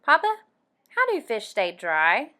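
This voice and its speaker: A woman's voice: on the high side, wildly swooping pitch, slow, pushed and bright, exaggerated voice. A woman voicing a curious, supportive young daughter in a storybook, asking earnest questions. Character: curious, supportive young daughter narrating her father's inventions.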